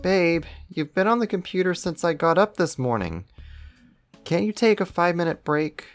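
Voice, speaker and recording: A woman's voice: lowish voice, lively intonation, moderate pace, dry recording, faint music behind, natural voice. A woman playing a clingy, affectionate young boyfriend in an intimate ASMR roleplay, teasing, coaxing and pouty. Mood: pouty, pleading.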